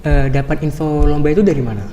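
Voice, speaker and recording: A man's voice: a little high, steady tone, fast, dark tone, slight background noise. A teenage boy hosting a school podcast, upbeat and casual with many fillers. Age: teens. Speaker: podcast host. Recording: reverberant room.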